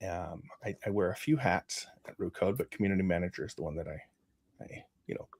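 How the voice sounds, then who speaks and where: A man from Canada speaking as an interview guest, casual and halting, often restarting his phrases. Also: sits low, breathy edge, no echo. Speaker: interview guest, community manager.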